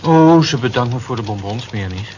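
An actor playing a mild-mannered, amused office worker in a Dutch radio drama, dry and understated. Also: male voice, mid-range voice, expressive, moderate pace, darkish tone, lightly acted. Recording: dull lo-fi old radio recording, clear noise.

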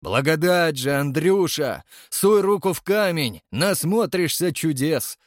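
A mocking, spiteful man in an audiobook drama, acted by a male narrator. He taunts briskly and energetically, the voice pushed up and lively. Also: on the high side, dry recording, clean studio sound, pitched above the performer's own voice. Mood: gleeful spite.

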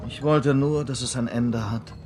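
A man's voice: mid-range voice, unhurried, over music, close to an everyday voice. An actor playing a bitter dead man looking back on his failed suicide in a radio play. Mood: bitter, weary. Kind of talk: German radio play dialogue.

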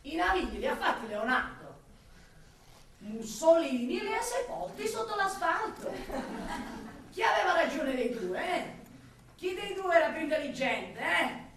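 A roomy, distant recording carries a high-pitched woman in a theatrical radio monologue. She is an impassioned city dweller, torn between love and exasperation. She declaims, stretching and repeating her words for dramatic weight. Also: sing-song, a bit bright, a little hiss or hum, exaggerated voice. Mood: taunting. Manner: declamatory, with drawn-out words and repetitions. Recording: roomy echo, distant from the mic.

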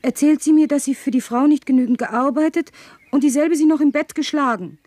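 Woman acting gossiping housemaid, fairly high pitch, soft dark timbre, hushed dramatic storytelling, indignant, radio drama. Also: fairly quick, no echo, natural voice. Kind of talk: German radio play acting.

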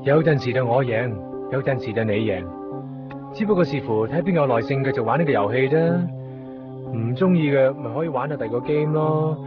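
A man's voice: mid-range voice, brisk, close to an everyday voice. An actor playing an anxious, evasive young man in a Cantonese radio drama from Hong Kong, exasperated and emphatic, repeating himself. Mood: brooding, secretive. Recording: muffled 1990s radio drama over music.

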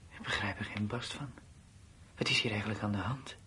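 An actor playing a blunt, uneasy soldier in a Dutch radio drama, with plain, colloquial, matter-of-fact delivery. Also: male voice, on the low side, steady tone, fairly quick, pushed and bright. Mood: bewildered, aggrieved. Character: blunt, uneasy male soldier left behind at his post. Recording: noisy old radio play recording.

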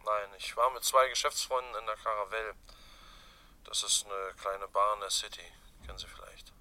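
Low, sharp-edged guarded businessman. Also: steady tone, moderate pace. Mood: guarded, reflective. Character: guarded businessman questioned about his dead friend. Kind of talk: German radio crime drama acting.

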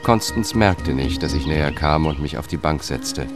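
Sober investigator guy telling a radio play, low voice, matter of fact, music under it. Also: lively intonation, moderate pace. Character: sober, observant male first-person narrator and investigator. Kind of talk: scripted radio drama narration.

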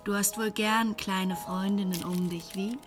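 A woman's voice: medium pitch, steady tone, unhurried, close to an everyday voice. An actress playing a worldly, wry young Parisian woman of the night in a German radio play, teasing and questioning in a casual way. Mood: wary, teasing. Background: music.

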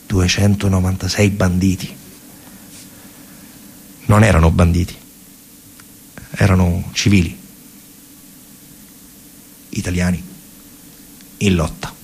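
Gravelly low-voiced male storyteller. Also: flat delivery, takes it slowly, pauses often, a little mellow, slight background noise, rough recording. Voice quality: gravelly, husky. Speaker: narrator of a stage monologue. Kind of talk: dramatic storytelling monologue for radio theatre.

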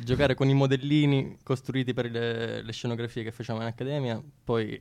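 A man's voice: medium pitch, gravelly, a little mellow, smooth. A man explaining his craft casually as an interview guest. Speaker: theatre technician and set builder, interviewed guest.

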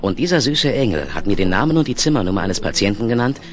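An actor playing a crafty, daring, smooth-talking photo reporter in a 1970s German radio play. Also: male voice, lowish voice, very animated, fast talker, crowd noise. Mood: sly, confident.

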